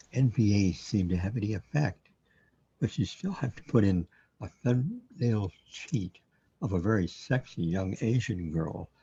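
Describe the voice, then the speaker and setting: A man's voice: fairly low pitch, vocal fry, dark tone, slightly rough, highs cut off, full character voice. A man playing a mock-solemn cosmic insider who announces like an old-time serial narrator in an amateur audio comedy. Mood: dry.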